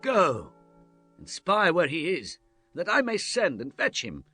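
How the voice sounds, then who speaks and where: Man acting a commanding, high-voiced ancient scripture leader. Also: huge pitch swings, measured pace, bright, forceful tone, breathy edge, dry recording, full character voice. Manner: declamatory, formal. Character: commanding men of ancient scripture, prophet and kings. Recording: music bed under the voice.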